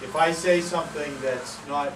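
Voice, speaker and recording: A man's voice: fairly high pitch, moderate pace. Man chatting at an outdoor talk, far off. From Maine. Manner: chatty, many fillers. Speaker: presenter. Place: outdoor pavilion among palm trees. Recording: roomy, distant, noisy outdoor sound.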